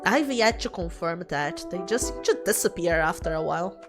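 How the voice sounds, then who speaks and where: A woman's voice: lowish voice, animated, moderate pace, pitched below the performer's own voice. A woman voicing a guarded, intense young dead woman in a visual novel, cool, curt and serious. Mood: cold, intense. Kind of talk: visual novel character read aloud. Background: background music.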